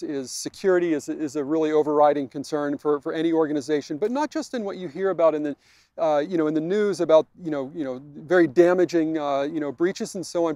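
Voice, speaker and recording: A man in his forties, a technology executive, answering questions on a walk in a park, halting and full of restarts and repeated words. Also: fairly high pitch, a little mellow, no room sound. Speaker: vice president of technology. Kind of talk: conversational interview on a walk. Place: outdoors in a park.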